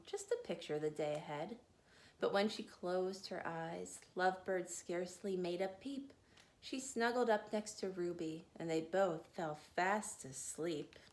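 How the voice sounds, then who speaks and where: Slow, gentle woman reading a picture book. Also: lowish voice. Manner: slow, gentle, rhyming storytelling cadence. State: tired. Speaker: mother reading aloud for children's classes. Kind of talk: picture-book read-aloud.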